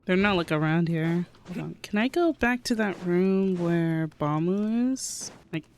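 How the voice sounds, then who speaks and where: A woman's voice: fairly low pitch, measured pace, a little hiss or hum. A woman streamer giving casual live reactions to a game, musing aloud in short interjections. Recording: faint game music behind voice. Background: game dialogue and music.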